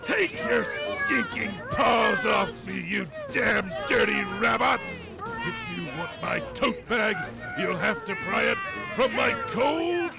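A man voicing a gravelly, bombastic Hollywood hero rabbit in a spoof radio-play commercial. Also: very high-pitched, huge pitch swings, slow, raised voice, a loud crowd, full character voice. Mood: defiant.

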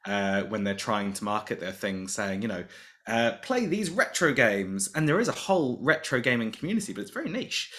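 A man's voice: fairly low pitch, big pitch swings, normal speed, fairly bright tone. A man speaking as an interview guest, making emphatic, opinionated points and often repeating words as he thinks. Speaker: guest, charity manager. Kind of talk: casual interview.

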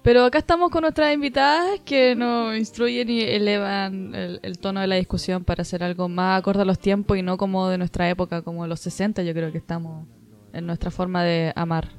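A woman's voice: mid-range voice, steady tone, a little fast, faint music behind. A woman co-hosting a podcast, speaking hesitantly with many restarts. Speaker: podcast co-host. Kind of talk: casual chat.

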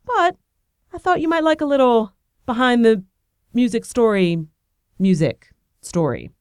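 A woman's voice: fairly high pitch, expressive, a little slow, clean tone, dry recording, clean studio sound. A woman hosting a podcast, talking in a bubbly, rambling, self-deprecating way while she has a cold. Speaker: podcast host. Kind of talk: casual chatty monologue.

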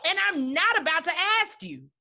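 Blunt, animated woman talking frankly. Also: very high voice, moderate pace. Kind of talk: casual podcast chat.

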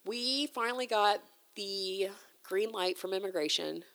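A woman's voice: medium pitch, measured pace, a bit bright, poor audio. A woman guest talking casually and candidly, telling a personal story in conversation. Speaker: interview guest.

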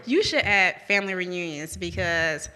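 A woman's voice: lowish voice, moderate pace, sharp tone, clean tone. A young woman hosting a podcast, chatting casually and laughing, talking to listeners directly. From American South. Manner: chatty, laughing, addresses listeners as you guys. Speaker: podcast host.

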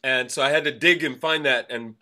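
A man's voice: medium pitch, a little fast, sharp tone. A man co-hosting a podcast, chatting in an upbeat way and laughing easily. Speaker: podcast co-host. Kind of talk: casual chat.

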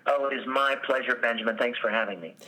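An actor answering interview questions, telling his story in a relaxed way. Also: mid-range voice, fast, rough recording. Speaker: actor guest.

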